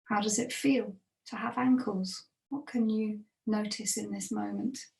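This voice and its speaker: Woman, meditation teacher, mid-range voice, gentle guided body scan, unhurried with long pauses, roomy echo. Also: mid-range voice, steady tone, creaky. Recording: roomy, echoing sound.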